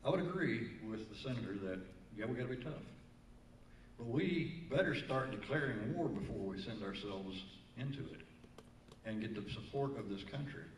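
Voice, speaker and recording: A man's voice: medium pitch, animated, normal speed, a little hiss or hum. A man, a congressional candidate speaking at a forum, impassioned and full of rhetorical questions. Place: community forum room. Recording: echoey room from far off.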